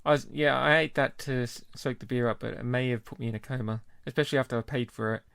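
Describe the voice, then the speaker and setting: A man's voice: mid-range voice, fairly even intonation, quick pace, creaky, fairly bright tone, smooth, no echo, clean studio sound. A man hosting a casual podcast and trading jokes with his co-host. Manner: jokey, chatty, laughs easily. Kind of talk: casual chat.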